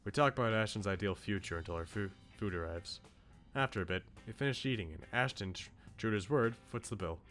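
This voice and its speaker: A man's voice: lowish voice, expressive, fairly quick, fairly bright tone, faint music behind. A man streaming a game, reading story narration aloud and adding casual commentary.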